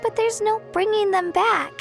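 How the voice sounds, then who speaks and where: A woman voicing an earnest, caring young child villager in video game dialogue, soft-hearted and grateful. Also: extremely high pitch, fairly even intonation, normal speed, vocal fry, heavily acted. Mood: sad, concerned. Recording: background game music.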